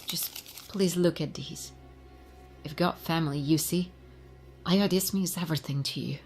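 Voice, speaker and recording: A woman's voice: low-pitched, normal speed, a little mellow, faint music behind. An actress playing a frightened woman scientist who pleads desperately for her life in an audio drama. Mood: pleading.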